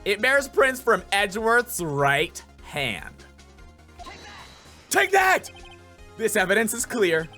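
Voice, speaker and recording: Man playing a determined young lawyer, bright ringing voice, lively pitch, emphatic, soft music. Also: takes it slowly, pauses often, full character voice. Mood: assertive. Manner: emphatic, declarative. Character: earnest young male defense attorney.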